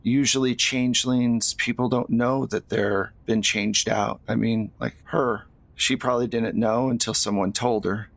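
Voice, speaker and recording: A man playing a solemn young man in a tabletop game, talking in a grave, measured storytelling way. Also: medium pitch, fairly even intonation, creaky, fairly bright tone. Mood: grave, resolute.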